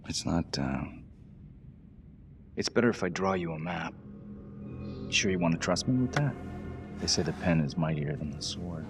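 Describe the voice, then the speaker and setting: An actor playing a sly, bitter convict in a crime thriller game, with a sardonic, brooding delivery. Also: male voice, expressive, soft background music. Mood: sly, coaxing. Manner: sardonic, slow, half-whispered at times. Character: sly, bitter male convict on death row. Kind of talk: brooding dramatic game acting. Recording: clear background ambience and rumble.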